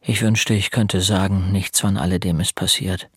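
A man's voice: low-pitched, level tone, moderate pace. A man playing a resigned narrator who recalls a bleak boyhood, in dramatic scripted first-person narration. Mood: brooding. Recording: music behind the voice.

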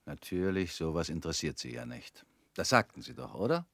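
An actor in a radio play playing a calm former prisoner who questions in a cool, probing, slightly ironic way. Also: male voice, a bass voice, fairly quick, no room sound, full character voice.

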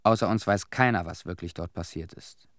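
A disillusioned young war-weary cleanup veteran in a radio drama. His voice sits low and is recorded dry and close. He gives his testimony soberly, in short clipped sentences. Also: brisk, a bit bright, no room sound. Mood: bleak, resigned. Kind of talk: radio play monologue, testimony.

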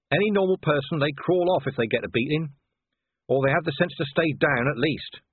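A man's voice: fairly high pitch, husky, no room sound, narrow, tinny audio, voice pushed up. A man voicing a jumpy, grumbling city watch commander in an audiobook, blunt and exasperated.

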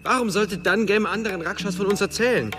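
A man acting a young sorcerer hero with a high voice. He is guarded, a bluffer in a fantasy radio play, with music clearly underneath. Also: a little fast, close to an everyday voice. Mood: tense bluffing.

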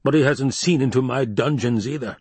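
A man's voice: medium pitch, a little fast, darkish tone, husky, no echo, voice pushed up, lightly acted. A man voicing a fat, scheming baron in an audiobook, pompous, blustering and petulant. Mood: irritated, dismissive. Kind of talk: dramatized audiobook reading.

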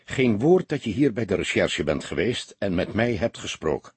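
A man voicing a seasoned, greying police detective in an audiobook, calm, sober and matter-of-fact. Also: lowish voice, normal speed, breathy edge, no echo, exaggerated voice. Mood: instructing.

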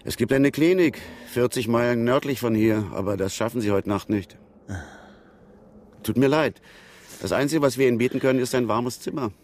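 A man's voice: medium pitch, moderate pace, a little mellow, a little hiss or hum, close to an everyday voice. An actor playing a helpful, matter-of-fact male motel keeper in a radio drama.

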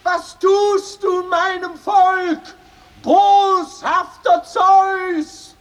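A crazed master railing at the heavens in an ancient comedy radio play. The voice is extremely high and drags every word out in a loud, wailing declamation. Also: male voice, level tone, very slow, exaggerated voice. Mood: raving, accusing. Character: mad master railing loudly at the god Zeus.

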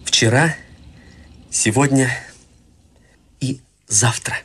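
A man's voice: medium pitch, extremely slow, a bit bright, slight background noise. An actor playing an earnest, devoted young man in a radio play, with a shy, sincere way of talking. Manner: earnest, shy, turns urgent when alarmed.

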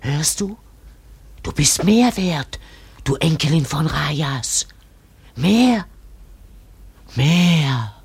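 An actress playing a greedy, scheming Malay mother in a radio drama, husky and breathy, whispering insistently. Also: low-pitched, animated, extremely slow, pauses often, darkish tone, exaggerated voice. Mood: fierce, insistent.

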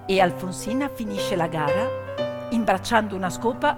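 A woman telling a story theatrically in short emphatic phrases with repetition, moving between hushed and loudly projected delivery. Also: medium pitch, expressive, moderate pace. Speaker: stage storyteller. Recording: long hall reverb, music behind. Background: music, piano accompaniment.

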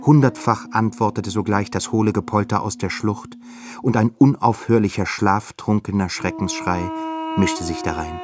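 An actor playing a solemn literary narrator of a war epic in a German radio play. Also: male voice, fairly low pitch, normal speed, lightly acted. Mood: grave, dramatic. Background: music.

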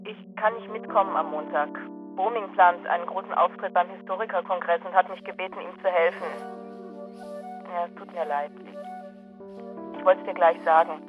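An actress playing an apologetic friend leaving a telephone message in a German radio drama. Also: female voice, on the low side, steady tone, normal speed, over music. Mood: apologetic, hesitant. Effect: telephone message filter. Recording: band-limited, muffled phone message.

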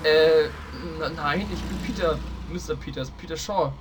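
Over clearly audible background noise, a man voices a boy detective's naive sidekick in a parody radio play. His fairly high voice is hesitant and good-natured, often stammering. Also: normal speed, lightly acted. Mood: nervous, hesitant. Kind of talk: tongue-in-cheek German fan audio drama. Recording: clearly noisy home-made recording.

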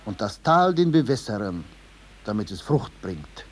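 An actor playing a solemn storyteller, measured and declamatory, in 1950s German radio drama narration over music. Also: male voice, on the low side, sing-song, breathy edge, heavily acted. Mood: solemn, instructive. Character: solemn male storyteller proclaiming a fable's moral.

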